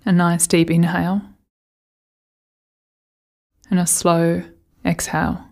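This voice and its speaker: A woman speaks as a meditation guide in a fairly low register with a noticeable creak. Her intonation stays flat and steady. She gives soothing, gentle instructions, leaving unhurried pauses between phrases.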